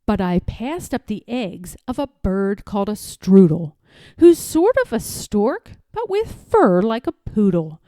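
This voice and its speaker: A woman reading a children's story aloud in a theatrical, rhythmic way, as expressive storytelling. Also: medium pitch, unhurried, dry recording. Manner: theatrical, rhythmic rhyming delivery. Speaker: storybook reader.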